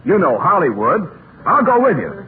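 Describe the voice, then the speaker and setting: A man's voice: high voice, wildly swooping pitch, normal speed. An actor playing a polished straight man and announcer in a 1940s radio comedy. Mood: puzzled. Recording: tinny, hissy 1940s radio recording.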